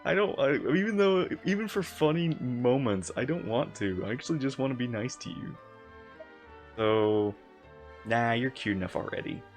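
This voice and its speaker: Animated male streamer reacting to a game. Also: mid-range voice, faint music behind. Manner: informal, halting asides. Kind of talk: casual live reaction commentary.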